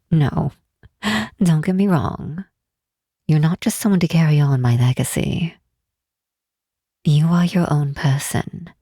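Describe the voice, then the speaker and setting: A woman playing a proud, doting and protective demon queen mother in an intimate roleplay, speaking softly in a measured, stately, gently teasing way. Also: low voice, slightly rough, dry recording, studio quality, heavily acted. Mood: proud, affectionate. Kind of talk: roleplay ASMR, soft intimate acting.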